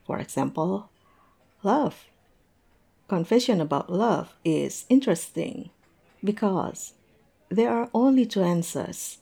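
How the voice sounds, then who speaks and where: A woman's voice: medium pitch, creaky, mellow, heavily acted. A woman acting in a roleplay audio drama as a tipsy, lovestruck young woman, self-mocking and playfully theatrical. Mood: playful, explaining.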